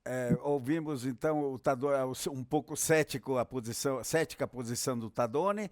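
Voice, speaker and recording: A man's voice: medium pitch, a little fast, crowd noise. A man moderating a live panel discussion in an unscripted way.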